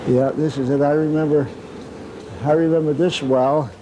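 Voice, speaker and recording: A man's voice: a little high, fairly even intonation, moderate pace, background noise. A man in his nineties, a Navy veteran telling rambling wartime stories aboard his old ship, restarting sentences and chuckling as he goes. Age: older. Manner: rambling, self-correcting anecdotes with chuckles. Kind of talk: reminiscing storytelling. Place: aboard an old destroyer, indoors and on deck.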